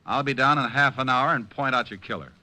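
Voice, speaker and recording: A man playing a sharp, confident, needling private detective in old-time radio style, speaking in a punchy, wry way. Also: medium pitch, a little fast, close to an everyday voice, poor audio. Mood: wry, bantering. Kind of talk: 1940s-50s radio detective acting.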